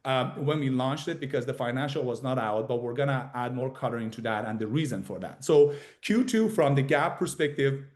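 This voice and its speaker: A man speaking as a company executive on an earnings call, with halting delivery, often repeating and correcting himself. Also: mid-range voice, a little mellow. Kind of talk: investor earnings call presentation.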